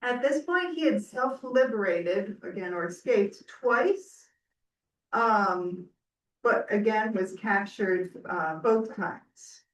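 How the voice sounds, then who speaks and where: Very slow-speaking woman in an echoey room. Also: medium pitch, a little hiss or hum. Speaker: presenter introducing historical context. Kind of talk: historical narration to an audience. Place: live event in a reverberant room. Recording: echoey room, far from the mic.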